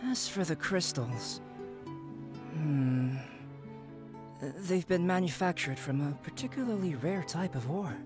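A man voicing a calm, analytical young scholar in a game, speaking in a measured, formal way. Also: a little high, over music. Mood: pondering. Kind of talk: game voice acting.

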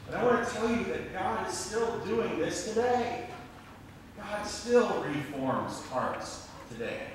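Middle-aged male pastor, earnest sermon delivery, distant pickup in a reverberant sanctuary. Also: fairly high pitch. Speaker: Lutheran pastor preaching. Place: church sanctuary at a lectern. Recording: echoey church, distant mic, faint noise.

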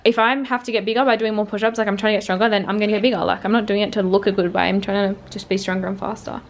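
A woman's voice: mid-range voice, flat delivery, very fast. A woman in her twenties with an Australian accent, chatting casually and slangily to a vlog camera. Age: 20s. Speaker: vlogger, sprinter. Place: small motel room, sometimes just outside it. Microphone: handheld vlog camera at arm's length. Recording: handheld camera audio with background noise.

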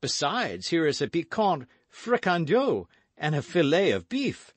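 A man voicing a lively, quick-witted musketeer with a bantering, exclamatory manner in a storybook reading. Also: a little high, dry recording, pitched above the performer's own voice, heavily acted. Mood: teasing.

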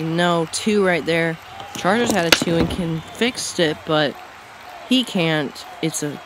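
A man calls a marble bowling competition in quick play-by-play, his slightly high voice jumping up on each big hit or miss. A loud, steady hum of background noise sits under the whole recording. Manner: excitable, rising on big moments. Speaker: marble race commentator. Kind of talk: play-by-play commentary.

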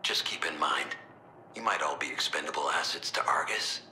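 An actor playing a gruff, stern military squad leader in a video game, speaking in terse, curt warnings. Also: male voice, very deep voice, moderate pace, bright, forceful tone, echoey room, slight background noise, heavily acted. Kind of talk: dramatic video game voice acting.

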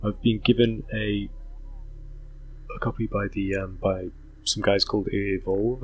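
Hoarse gamer, rambling. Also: male voice, on the low side. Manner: rambling, self-interrupting, full of ums. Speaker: gaming channel host. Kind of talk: casual let's play commentary.

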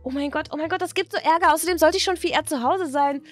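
A woman voicing an excitable, anxious teenage schoolgirl in a toy-video story, chatty, slangy and dramatic. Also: high voice, fast talker, soft background music, lightly acted. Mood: flustered.

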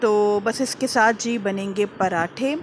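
A woman's voice: medium pitch, takes it slowly, a little hiss or hum. A woman with an Urdu-speaking Pakistani accent, hosting a casual home vlog and chatting to her viewers while cooking. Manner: chatty and warm, filler-heavy "jī". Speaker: vlogger, channel host. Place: home kitchen and living room, indoors.

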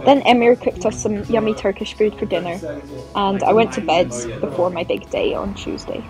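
A woman's voice: mid-range voice, normal speed, music in the background, poor audio. A woman in her twenties, a PhD student, narrating a vlog and chatting casually. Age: 20s.

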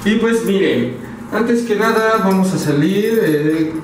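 A man's voice: high-pitched, slow, distant mic, noisy background. Slangy young Mexican man, echoey apartment. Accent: Mexican Spanish. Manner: slangy, energetic, talks to viewers as "banda". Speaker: vlogger. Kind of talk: casual house tour vlog. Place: small apartment, indoors. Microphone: handheld camera mic. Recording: echoey room, a bit off-mic.

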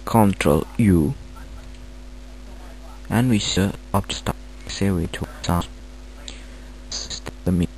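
A man with a Romanian accent, not a native English speaker, halting through a screen-recorded software tutorial with many fillers. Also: lowish voice, slight background noise, low-quality recording. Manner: hesitant, halting, filler-heavy. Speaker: tutorial maker.

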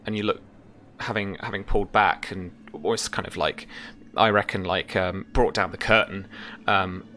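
Game master, male, 30s, low voice with a forward edge, halting descriptive horror narration. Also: fairly even intonation, pushed and bright, a little hiss or hum. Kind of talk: improvised tabletop horror narration.

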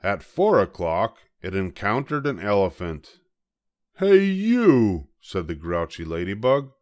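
Older man, storybook narrator, lowish pitch, animated intonation, scripted read-aloud. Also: normal speed. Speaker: storybook reader.

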